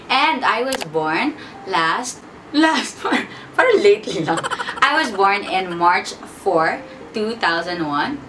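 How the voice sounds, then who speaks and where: A woman's voice: medium pitch, big pitch swings, takes it slowly. A woman in her twenties with a Filipino accent, chatting playfully in a sit-down question-and-answer vlog, mixing English and Tagalog. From Philippines. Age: 20s. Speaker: vlogger. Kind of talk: casual sit-down Q&A chat. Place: at home. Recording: distant mic with background noise.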